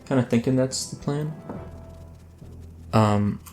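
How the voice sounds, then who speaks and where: A man's voice: mid-range voice, slow pace, darkish tone, soft background music. A man hosting a let's play, giving casual, rambling gameplay commentary that keeps breaking off mid-thought. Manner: rambling, self-interrupting, chatty.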